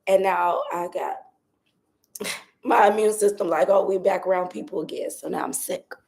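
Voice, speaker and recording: Hoarse young woman with a raspy voice. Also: mid-range voice, fairly even intonation, normal speed. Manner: talks softly, holding back her voice. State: hoarse, losing her voice from a cold. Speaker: vlogger doing a live stream. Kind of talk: casual chatty live update. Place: outdoors by her patio at home.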